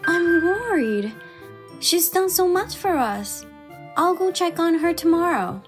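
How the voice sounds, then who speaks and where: A woman voicing a forty-year-old housewife and crafts artist in a dramatized story, expressive and exasperated yet caring. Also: very high voice, full character voice. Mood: shocked, worried. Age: 40s. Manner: expressive, indignant in dialogue, measured when narrating. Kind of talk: dramatized first-person story narration and dialogue. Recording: background music under the voice.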